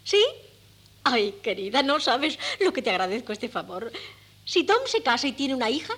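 An actress playing an excitable, scheming middle-aged mother in a Spanish radio comedy, with a Castilian accent and a fussy, exclamatory delivery. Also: a little high, normal speed, breathy edge, heavily acted. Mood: gushing, triumphant. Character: meddling, excitable, scheming mother of grown sons. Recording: noisy old radio cassette with hum.

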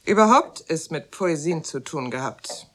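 A woman's voice: low-pitched, lively intonation, measured pace, fairly bright tone, a little hiss or hum. An actress playing a sceptical, mocking society lady who drawls pointed questions and gossip in a radio drama. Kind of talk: German radio drama acting.

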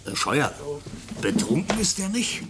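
A man playing a gruff, impatient police sergeant in a radio play, barking questions and dismissive remarks. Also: a little high, big pitch swings, measured pace, background noise. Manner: barking, interrogating, insulting his subordinate.

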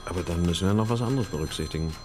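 An actor playing a brooding, intuitive police detective in a radio crime drama, thinking aloud with insistent questions. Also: male voice, low-pitched, racing, background noise, lightly acted. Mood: speculating. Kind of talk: naturalistic German radio crime drama acting.

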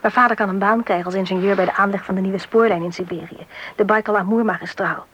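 An actress playing a caring, brisk mother who coaxes her son in a Dutch radio play. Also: medium pitch, steady tone, fairly bright tone, natural voice. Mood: matter-of-fact. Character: caring, worried mother of a schoolboy. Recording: dull, faintly noisy old radio recording.

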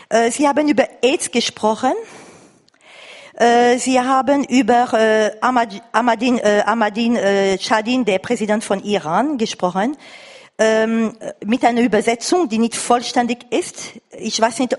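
A woman from France with a foreign accent, speaking from the floor haltingly and insistently as she searches for words. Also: on the high side. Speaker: audience member at a lecture. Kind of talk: spontaneous comment from the floor. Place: reverberant lecture room or hall. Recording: echoey hall recording of live talk.

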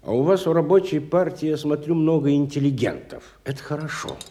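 An actor playing a wealthy, educated, bitter industrialist in a radio stage drama, theatrical and musing, moving between soft and emphatic speech. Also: male voice, fairly high pitch, animated, brisk, natural voice. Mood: sardonic, brooding.

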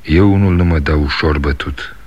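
An actor playing a stubborn, defiant younger son in a 1960s radio drama, speaking insistently. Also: male voice, extremely low pitch, darkish tone, highs cut off.

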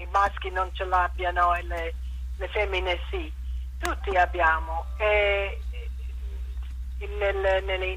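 A woman with a fairly low, bright voice speaks as an interviewed thinker. She takes her time over each idea, very slowly and haltingly, often feeling for the next word as she explains. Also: breathy edge, low-quality recording. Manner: hesitant, halting, searching for words. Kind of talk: unscripted interview answers explaining ideas.

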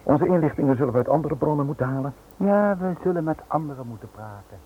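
Smooth, menacing Italian financier. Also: male voice, a little high, expressive, quick pace. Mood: insinuating politeness. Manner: polite, insinuating, pressing. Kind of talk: radio play acting. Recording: tinny, noisy old radio play recording.

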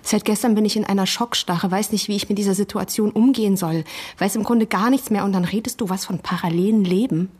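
An actress playing a hurt, exasperated wife in a radio drama, pressing for answers in an accusing, emotional, insistent way. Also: female voice, mid-range voice, fairly even intonation, fast, few pauses, darkish tone, slightly rough, lightly acted. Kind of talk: naturalistic radio drama acting.

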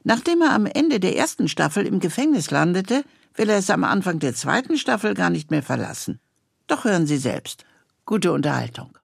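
Husky, animated woman presenter. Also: fairly low pitch, normal speed. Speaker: presenter introducing a radio series. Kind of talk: scripted introduction and narration.

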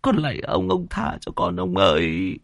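A man voicing a desperate poor peasant who stammers, pleads and wails in a high, strained voice. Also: very animated, slow pace, vocal fry, no room sound, clean studio sound, full character voice. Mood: pleading.

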